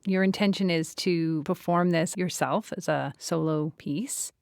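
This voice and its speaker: A woman hosting a theatre podcast, with measured questions and scripted announcements. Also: fairly low pitch, darkish tone, no echo. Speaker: podcast host and interviewer.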